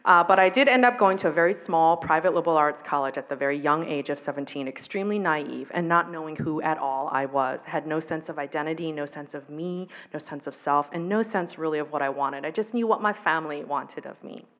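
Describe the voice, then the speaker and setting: A woman from the US East Coast whose first language was Taiwanese, giving an earnest, reflective personal talk on violence prevention. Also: sits low. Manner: reflective, earnest, with pauses and fillers. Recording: dull recording in an echoey hall.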